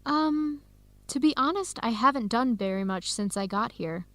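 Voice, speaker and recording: A woman's voice: on the high side, lively intonation, moderate pace, fairly bright tone, no echo. An actress playing a friendly, modest young woman researcher in a radio drama, with a light, playful manner. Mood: shy, friendly. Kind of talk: educational radio drama acting.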